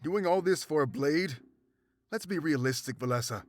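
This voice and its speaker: A man's voice: on the high side, animated, normal speed, soft background music, lightly acted. A man playing a guarded, pragmatic rebel companion in a fantasy video game. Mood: dismissive.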